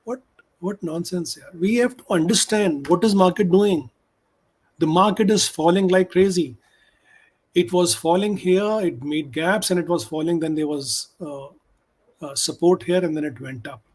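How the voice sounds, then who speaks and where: Emphatic Indian man, somewhat high. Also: moderate pace. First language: Hindi. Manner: emphatic, switches between English and Hindi. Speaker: stock options trader. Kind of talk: market analysis commentary.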